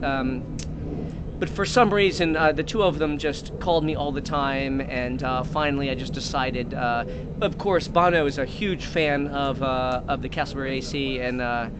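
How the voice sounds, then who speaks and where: A man's voice: on the high side, noisy background. A man telling an improvised comic tall tale in a rambling, mock-earnest way, often breaking off and restarting. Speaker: comedic storyteller.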